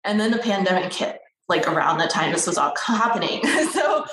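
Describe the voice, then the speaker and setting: A woman's voice: lowish voice, big pitch swings, fairly quick, fairly bright tone, recorded from a distance. Young woman, rambling, full of "like" and restarts, roomy echo, set back from the mic. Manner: rambling, many fillers and restarts. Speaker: writer and guest. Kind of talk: casual interview chat.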